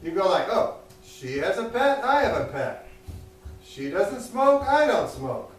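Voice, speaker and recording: A man with a high voice works through a deadpan stand-up set, mocking himself between one-liners. He is recorded from across a small cafe, so his voice sounds distant and is wrapped in heavy room echo, with audience laughter behind him. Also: a little slow. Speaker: stand-up comedian. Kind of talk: open-mic stand-up set.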